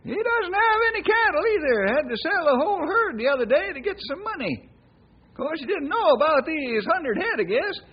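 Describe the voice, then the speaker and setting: An actor playing a folksy, good-natured old cowboy sidekick in an old radio western, with rural Western speech. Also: male voice, very high voice, sing-song, brisk. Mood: earnest. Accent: rural American West. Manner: folksy, drawling country phrasing, jokey. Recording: dull lo-fi old radio recording.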